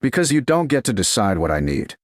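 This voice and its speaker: A man's voice: medium pitch, very animated, brisk, no room sound, studio quality, lightly acted. A man voicing a proud, defiant medieval woman negotiator in an audiobook, with clipped, indignant retorts. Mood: tense, wary. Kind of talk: audiobook character dialogue.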